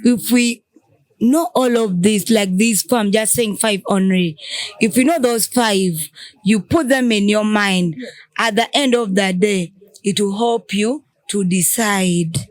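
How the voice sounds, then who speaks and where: A woman's voice: medium pitch, unhurried, no echo. Ugandan woman explaining with examples. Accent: Ugandan English. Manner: explains with examples, asks and answers own questions. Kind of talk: casual group conversation.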